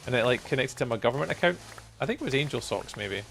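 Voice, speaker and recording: Gravelly-voiced Scottish man chatting. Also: medium pitch, expressive, fast, a bit bright, a little hiss or hum. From Scotland. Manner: rambling and good-humoured, with frequent ums and chuckles. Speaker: gaming livestreamer. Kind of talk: casual chat with live viewers while gaming.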